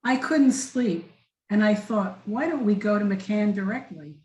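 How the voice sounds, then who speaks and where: A woman's voice: mid-range voice, animated, unhurried, darkish tone, close to an everyday voice. A woman in an amateur table read playing a determined businessman. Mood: urgent. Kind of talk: amateur script reading. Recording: roomy, echoey voice pickup.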